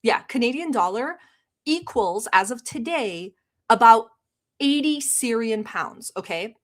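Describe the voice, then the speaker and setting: A woman's voice: fairly high pitch, lively intonation, a little slow, fairly bright tone. A woman born in Turkey, Turkish her first language, chatting casually on a live stream, laughing often and reading chat aloud. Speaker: reaction streamer.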